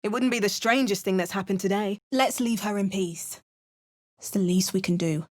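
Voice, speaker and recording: Game voice lines: a woman playing a wry young spellcaster with dry asides and crisply barked incantations. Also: medium pitch, moderate pace, a little mellow, natural voice.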